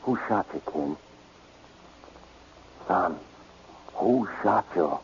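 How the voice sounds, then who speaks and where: A gruff, impatient male police inspector in a vintage radio mystery. His deep voice moves widely in pitch over a faintly noisy recording. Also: expressive, very slow, muffled recording, exaggerated voice. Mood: urgent. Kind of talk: 1940s radio detective drama acting.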